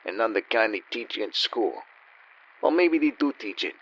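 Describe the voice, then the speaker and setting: An actor playing a cynical, down-and-out gambler narrating a noir radio play in a wry, world-weary way. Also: male voice, fairly low pitch, lively intonation, normal speed, slightly rough. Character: down-and-out cynical male gambler and con man. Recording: dull, slightly lo-fi.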